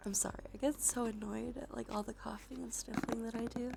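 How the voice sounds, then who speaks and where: A woman softly whispering casual ASMR chat to livestream viewers, relaxed and unhurried, with a slightly stuffy nose. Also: on the high side. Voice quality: soft, breathy whisper. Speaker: livestream host. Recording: background music under close whispering. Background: music.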